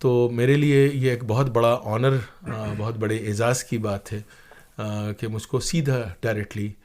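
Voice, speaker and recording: An older man recounting things as an interview guest, with hesitant, deliberate phrasing and emphatic points. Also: mid-range voice, steady tone, a little mellow. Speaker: interview guest, professor and former radio drama producer. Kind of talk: conversational interview, reflective recounting.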